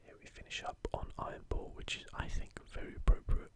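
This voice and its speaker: Softly whispering man, ASMR style. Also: brisk. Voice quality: soft, breathy unvoiced whisper. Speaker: host of an ASMR gaming channel. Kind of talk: whispered ASMR, casual show-and-tell of an art book.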